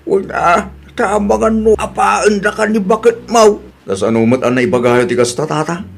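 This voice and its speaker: A man's voice: sits high, moderate pace, vocal fry, husky, heavily acted. A man with a Filipino accent playing a dutiful, earnest and defensive grown son and husband in an audio drama. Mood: reassuring.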